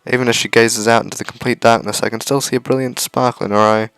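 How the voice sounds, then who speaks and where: A man reading a visual novel aloud as a let's-play host, with measured, earnest narration. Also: mid-range voice, fairly even intonation, fairly bright tone, clear, resonant tone, no room sound. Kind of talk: dramatic read-aloud narration with casual asides.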